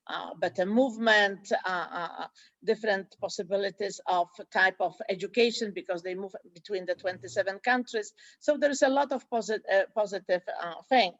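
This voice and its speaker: A woman's voice: medium pitch, no echo. Hesitant Polish woman, muffled dry voice. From Poland. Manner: hesitant, many fillers and restarts. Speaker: school director, guest. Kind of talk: interview conversation.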